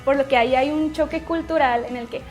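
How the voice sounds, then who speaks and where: A young woman with a Sonoran Mexican accent, a university student, telling a story in a lively way. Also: sits high, brisk, faint music behind. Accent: Sonoran Mexican Spanish. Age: young adult.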